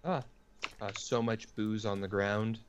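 A man's voice: on the low side, steady tone, unhurried, a little hiss or hum. A man playing a co-op game and chatting in a laid-back, matter-of-fact way. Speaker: gamer. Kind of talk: casual co-op gameplay chat.

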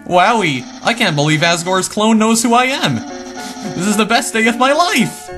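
A man voicing a loud, overexcited and boastful skeleton who exclaims everything. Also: high-pitched, moderate pace, music in the background, pitched above the performer's own voice, natural voice. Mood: excited.

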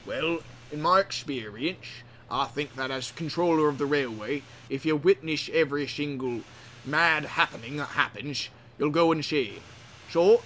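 A man's voice: on the high side, fairly bright tone, a little hiss or hum. Man doing a dry, self-important railway controller. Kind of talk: comic game playthrough roleplay.

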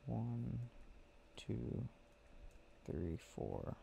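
A man speaking softly and drowsily in an ASMR boyfriend roleplay, murmuring with unhurried pauses. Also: medium pitch, creaky, muffled recording, slight background noise. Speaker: ASMR roleplay audio creator. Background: game clicking sounds.

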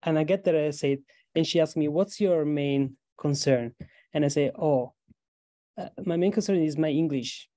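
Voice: medium pitch, normal speed, dark tone, no echo, studio quality. A graduate student from Italy with an Italian accent, earnestly telling a story on an advice panel. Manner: earnest, hesitant fillers.

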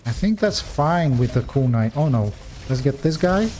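A man's voice: mid-range voice, lively intonation, moderate pace, warm tone, a little hiss or hum. A man with a non-native English accent giving casual gameplay commentary, reading upgrade text aloud and chatting to viewers.

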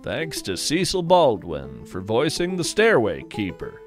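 A man's voice: medium pitch, huge pitch swings, unhurried, music in the background. A man hosting and narrating a scripted audio-fiction podcast in a wry, dryly understated way.

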